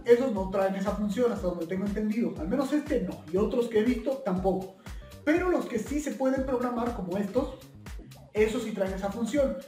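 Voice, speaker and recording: A man's voice: high-pitched, a little mellow. A young man with a Mexican accent giving an informal tutorial, addressing viewers in a friendly, direct way. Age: young adult. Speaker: video tutorial host. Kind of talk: informal instructional explanation with practical examples. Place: small bare indoor room at a table. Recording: echoey bare room, distant microphone.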